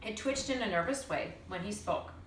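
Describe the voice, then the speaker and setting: A woman narrates a storybook with an animated, dramatic delivery and deliberate phrasing. Her voice sits a little away from the microphone in a roomy space, with faint noise behind it. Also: mid-range voice, far from the mic. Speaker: teacher reading aloud.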